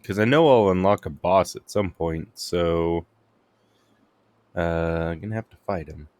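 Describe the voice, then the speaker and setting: A man's voice: low-pitched, a little slow, darkish tone. A man giving casual gameplay commentary as a streamer, muttering and thinking aloud. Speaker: game streamer.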